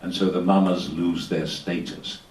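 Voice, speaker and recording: A man with a very low voice answers a question from the audience at a panel discussion. He explains in a measured way and draws on personal stories. He is picked up from a distance in a reverberant room. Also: steady tone, darkish tone. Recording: roomy, distant hall recording.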